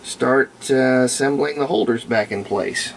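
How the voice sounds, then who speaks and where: Creaky-voiced man casually thinking aloud. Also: mid-range voice, a little slow, a little hiss or hum. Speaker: hobbyist restorer of antique radios, presenter. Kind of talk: casual hands-on demonstration, unscripted running commentary.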